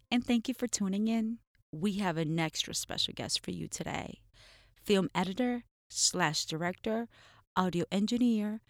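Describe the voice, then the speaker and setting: A woman's voice: medium pitch, dry recording, studio quality. A woman hosting an interview, asking questions in a friendly, upbeat way. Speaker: interview host.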